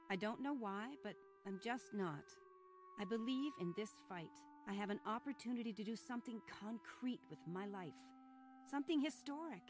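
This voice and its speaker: A woman's voice: on the high side, lightly acted. An actress playing a determined mother and civil rights volunteer in a radio-style drama, speaking earnestly and firmly. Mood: defiant conviction. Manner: earnest, firm, persuasive. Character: resolute young mother set on joining civil rights marches. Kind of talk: old-time radio style dramatic acting.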